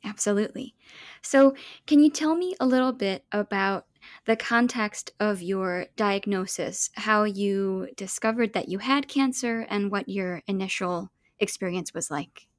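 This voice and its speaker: A woman's voice: mid-range voice, a little slow. Woman hosting a podcast interview. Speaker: podcast host.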